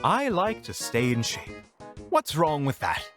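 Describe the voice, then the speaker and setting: A man's voice: fairly high pitch, wildly swooping pitch, unhurried, over music. A man playing a prickly, disdainful skinny magician, scolding and exasperated, in an audio drama. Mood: indignant. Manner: scolding, indignant.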